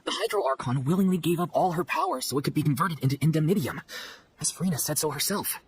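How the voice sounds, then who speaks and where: Polite young companion, low-leaning pitch, expressive, matter-of-fact, faint background noise. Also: female voice, fast talker. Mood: explaining. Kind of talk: video game voice acting.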